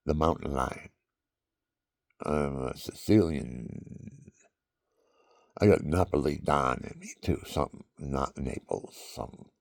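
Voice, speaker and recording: A man's voice: extremely low pitch, vocal fry, mellow, dry recording, clean studio sound. A man telling rambling personal stories in a meandering way, repeating himself and chuckling at his own tales. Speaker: vlogger.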